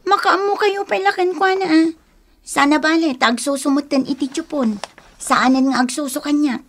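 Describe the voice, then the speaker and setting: A woman's voice: high-pitched, steady tone, moderate pace, fairly bright tone. A woman playing a discontented, stubborn young wife and mother in a Filipino radio drama, plaintive and melodramatic, with an Ilocano accent. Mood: defiant.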